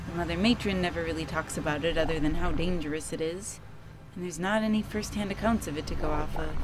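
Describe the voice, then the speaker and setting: A woman playing a blunt, cynical young woman in a visual novel game, sardonic and deadpan. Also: fairly low pitch, noisy background, natural voice. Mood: matter-of-fact. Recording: music under the voice.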